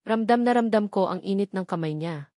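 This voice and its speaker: A woman narrates a romance novel in the first person. Her voice sits at a medium pitch, and the sound is close and dry, with hardly any room on it. It is scripted storytelling, read aloud. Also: brisk, dark tone, dry recording. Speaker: audiobook narrator.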